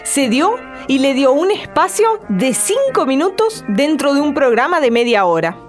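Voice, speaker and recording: Brief: female narrator for a scripted radio documentary, high voice, very lively melody, music clearly behind. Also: moderate pace.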